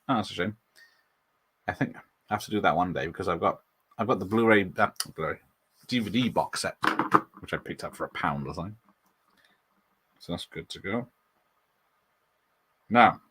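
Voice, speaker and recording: A man's voice: lowish voice, a bit bright, husky. A man hosting a casual live watch party, rambling and chatting about films, laughing often and answering his viewers. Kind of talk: casual live movie commentary.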